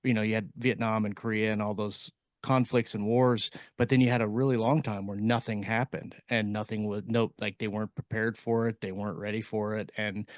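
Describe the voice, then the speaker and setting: Male panel podcaster, lowish pitch, very dry sound, casual and hesitant delivery. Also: level tone, no echo. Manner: hesitant, halting, circles around his point. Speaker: podcast co-host.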